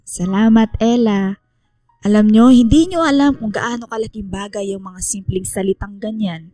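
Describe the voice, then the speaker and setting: Woman playing motherly schoolteacher, tired and gentle, mellow mid-pitched voice, measured, radio drama. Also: dry recording, soft background music, lightly acted. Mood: grateful.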